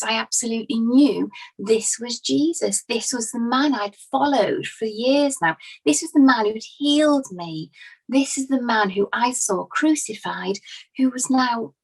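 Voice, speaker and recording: A woman playing an earnest, grieving follower giving hesitant, conversational testimony with conviction in a courtroom drama, her voice slightly husky. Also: a little high, rough recording. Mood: awed, certain. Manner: hesitant, conversational, emphatic about her certainty. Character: Mary, earnest grieving female follower of Jesus testifying as witness.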